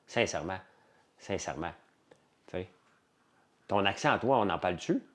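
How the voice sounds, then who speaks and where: A man's voice: low-pitched, expressive, normal speed, a bit bright. A man with a Quebec French accent chatting casually to livestream viewers, rambling and blunt, in colloquial words and often repeating himself. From Quebec, Canada. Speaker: livestream host.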